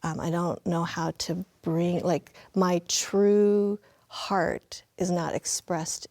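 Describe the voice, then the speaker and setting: A woman sharing reflections on a panel discussion, speaking in halting restarts. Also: fairly low pitch, steady tone, darkish tone, dry recording. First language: Mandarin.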